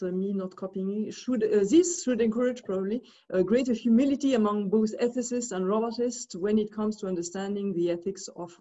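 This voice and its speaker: A woman's voice: mid-range voice, dark tone, muffled recording. A woman from Switzerland giving an academic commentary over a video call, speaking hesitantly with many fillers and restarts. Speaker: discussant.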